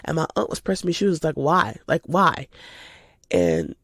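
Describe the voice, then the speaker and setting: An eighteen-year-old woman chats casually and spontaneously as a podcast host, animated and informal, slightly stuffy from a cold. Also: deep voice, moderate pace, dry recording, studio quality. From United States, lives in Georgia. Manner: animated, informal, addresses listeners as y'all. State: recovering from a cold.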